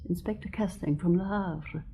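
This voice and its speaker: A woman's voice: on the low side, moderate pace, creaky, mellow, a little hiss or hum. An actress playing a dainty, genteel elderly widow who tells her story in earnest, precise sentences in a radio drama. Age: older. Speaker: witness.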